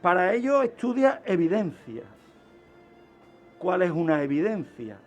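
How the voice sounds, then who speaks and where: A man's voice: high-pitched, very animated, a little slow, highs cut off, faint music behind. A man with an accent from Spain explaining as a guest expert on a radio show, asking questions and answering them himself. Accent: Spanish, from Spain. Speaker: criminologist guest.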